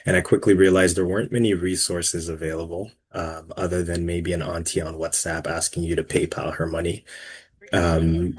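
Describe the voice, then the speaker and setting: A man from the Congo, a startup co-founder, giving a relaxed, chatty panel introduction with many fillers. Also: low voice, slightly rough.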